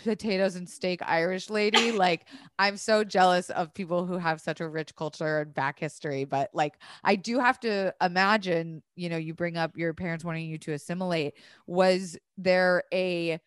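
A woman's voice: on the low side, steady tone, moderate pace, clear, resonant tone, no room sound, clean studio sound. A woman raised in Florida hosting a podcast, gushing, swearing freely and filling her talk with "like". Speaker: podcast host. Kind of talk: casual chat.

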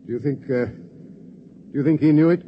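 An actor playing a gruff, hearty older colonel in a 1950s radio drama. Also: male voice, a little high, normal speed, lo-fi sound, natural voice. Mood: bluff. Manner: bluff, exclaims "dash it". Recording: noisy 1950s radio broadcast.

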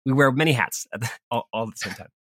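A man's voice: medium pitch, fast talker, fairly bright tone, no room sound, studio quality. An American man talking casually and effusively as an interview guest about creative work. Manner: enthusiastic, effusive.

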